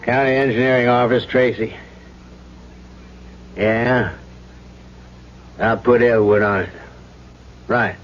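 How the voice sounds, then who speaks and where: An actor playing a businesslike engineering office boss in a seventies radio drama, curt and matter-of-fact. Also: male voice, medium pitch, fairly even intonation, slow pace, long pauses, natural voice. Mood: brisk, businesslike. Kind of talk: 1970s radio drama acting. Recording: dull, noisy old radio broadcast.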